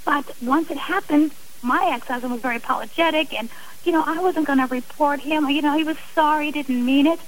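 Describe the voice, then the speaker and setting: A woman's voice: high-pitched, a little fast, a little hiss or hum, low-quality recording. A woman speaking earnestly and emphatically as a guest on a radio interview.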